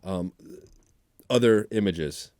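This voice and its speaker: A man with a fairly low voice chats as a podcast co-host. He talks casually and haltingly, often repeating a phrase before moving on. The sound is dry, with little room echo. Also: darkish tone. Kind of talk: casual banter.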